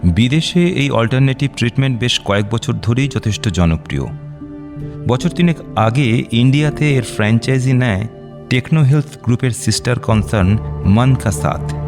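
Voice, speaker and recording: Soft music sits behind a doctor in a radio drama. His voice is fairly low, and he speaks deliberately and reassuringly, pausing as he explains. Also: vocal fry. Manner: deliberate, explanatory, with measured pauses. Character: measured, reassuring male doctor counselling a client. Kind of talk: Bengali radio drama acting.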